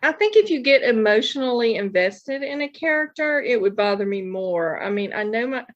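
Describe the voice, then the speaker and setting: A woman's voice: on the high side, very animated, moderate pace. A woman novelist chatting casually and earnestly as an interview guest, on slightly muffled audio. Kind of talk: casual chat. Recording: dull, lo-fi audio.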